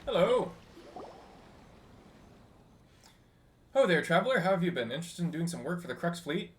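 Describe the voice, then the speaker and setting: A man voicing a chatty, teasing ship's technical officer from a game, reading the dialogue aloud in an animated, slightly halting way. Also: on the high side, voice pushed up. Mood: friendly, teasing.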